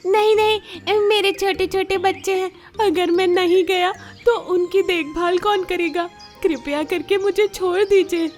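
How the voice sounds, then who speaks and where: Woman voicing a very high pleading forest animal. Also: steady tone, unhurried, hardly any pauses, a little mellow, faint music behind. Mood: terrified, pleading. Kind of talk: children's animal story voice acting.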